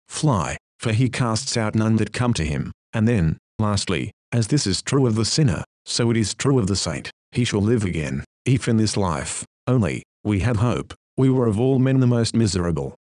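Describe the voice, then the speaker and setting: A man reading an old-style sermon aloud, exhorting his listeners with solemn fervor and slowing, low emphasis on key phrases. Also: a little mellow, no room sound, studio quality. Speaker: preacher.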